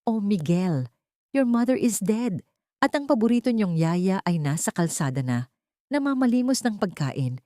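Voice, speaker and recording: A woman's voice: mid-range voice, very animated, moderate pace, warm tone, no echo, studio quality, voice pushed up, close to an everyday voice. A woman voicing a cold, cruel rich woman who commands and mocks, in an audiobook drama. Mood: cruel, mocking. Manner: menacing, commanding, mocking. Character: cold, cruel rich woman hiding malice behind sweetness.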